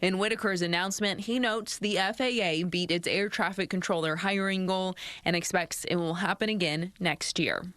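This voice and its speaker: A woman's voice: lowish voice, fairly even intonation, moderate pace, hardly any pauses, a bit bright, no room sound. A woman TV reporter delivering a scripted live news report. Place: live on location at an airport. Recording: clear background noise, on location.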